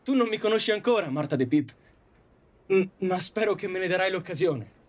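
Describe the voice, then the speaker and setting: Audio drama young suitor, male actor, earnest and awkward, husky, wide-swinging pitch, halting delivery. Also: expressive. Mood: earnest, hopeful. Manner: stammering, halting. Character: awkward, earnest young man next door in love.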